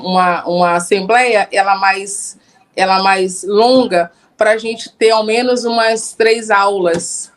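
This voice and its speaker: A woman, a community leader, answering radio interview questions earnestly, often restarting her sentences. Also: medium pitch. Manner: earnest, halting, many restarts.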